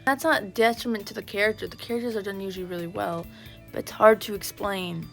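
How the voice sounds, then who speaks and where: A woman's voice: medium pitch, fairly even intonation, quick pace, gravelly, a bit bright. A woman vlogger chatting casually and gushing like a fan in a rambling way, over background music. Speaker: YouTube vlogger. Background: video game background music.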